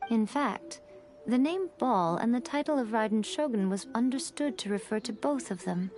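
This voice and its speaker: A woman's voice: on the high side, animated, moderate pace, darkish tone, close to an everyday voice. A woman playing a sly, elegant shrine priestess in a video game, speaking in a composed, formal and knowingly playful way. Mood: composed, explanatory. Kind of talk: scripted game story dialogue.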